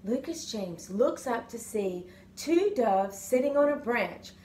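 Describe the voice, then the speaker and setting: A middle-aged woman, a grandmother, reading a picture book aloud to her grandchild in a living room, slow and gentle, livening up the character lines. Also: on the high side, lively intonation. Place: living room couch, indoors. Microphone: phone or webcam in front of the couch.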